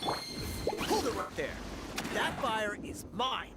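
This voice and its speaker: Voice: medium pitch, sing-song, takes it slowly, very noisy. An actor voicing a cocky, taunting rival contestant in a video game. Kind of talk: video game character voice acting. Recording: loud game music behind voice.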